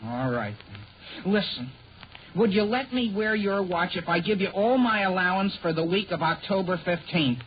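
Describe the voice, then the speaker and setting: A man's voice: high-pitched, lively intonation, moderate pace. A muffled old radio recording with faint noise carries an eager teenage boy. He is earnest and wheedling, always bargaining, in 1940s sitcom style. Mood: pleading, persistent. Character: eager, scheming, excuse-making teenage boy, Henry.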